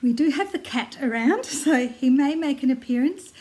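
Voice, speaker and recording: An older Australian woman with a slightly croaky voice, chatting casually to camera from home about her knitting, with frequent ums and small laughs. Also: high-pitched, animated, normal speed, a little mellow. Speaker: knitting vlogger. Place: at home in her lounge room, seated. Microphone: tablet camera close by.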